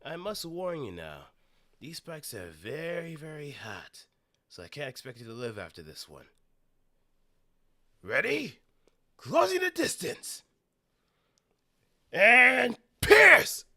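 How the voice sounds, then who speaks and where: A man's voice: a little high, wildly swooping pitch, gravelly, no echo, voice pushed up, exaggerated voice. A man voicing a politely menacing robot pilot from an anime game, giving warnings and attack calls. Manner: drawn-out warnings, then yelled attacks. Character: polite but menacing male spike-wielding mecha pilot.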